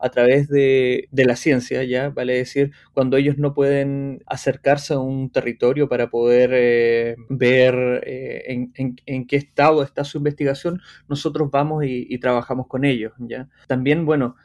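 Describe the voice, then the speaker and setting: A man from Chilean Patagonia with a Chilean accent, a tour guide answering interview questions in an unhurried way, with frequent restarts. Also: medium pitch, level tone, a little mellow, highs cut off. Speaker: guest, tour guide association president.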